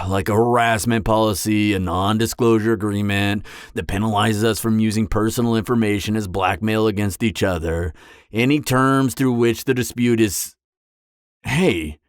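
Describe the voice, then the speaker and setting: A man plays an awkward, earnest supervillain in a conversational audio roleplay. His voice is low and recorded close and dry. He stammers a little but stays matter-of-fact and by the book. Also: no echo, natural voice.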